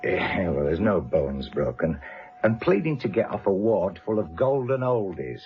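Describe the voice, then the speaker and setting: Low-voiced, wry British police inspector. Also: male voice, sing-song, a little slow, lo-fi sound, full character voice. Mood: wry, kindly. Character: wry, steady, fatherly senior police inspector. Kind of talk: British radio drama acting.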